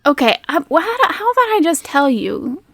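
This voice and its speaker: A woman's voice: high voice, very animated, a little fast, fairly bright tone, dry recording, clean studio sound. A woman running a tabletop game as game master, casually narrating and teasing her players.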